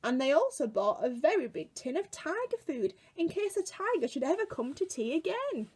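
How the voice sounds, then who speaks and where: A British woman in her twenties telling a picture-book story to young children in an expressive, exaggerated way, recorded indoors at home. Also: high-pitched, normal speed. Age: 20s. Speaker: children's museum worker reading a story to children. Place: small room at home, indoors.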